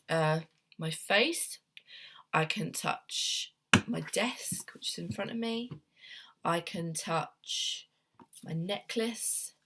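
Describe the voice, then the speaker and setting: A young woman therapist with a cold, talking casually to camera at her desk at home, gentle and meandering with many ums and restarts. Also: lowish voice, background noise. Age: young adult. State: has a cold, sniffly. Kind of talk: casual self-help talk to camera.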